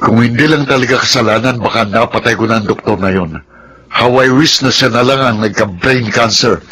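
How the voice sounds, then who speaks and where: A man's voice: medium pitch, a little fast, natural voice. An actor playing a bitter, aggrieved male patient in a Filipino radio drama.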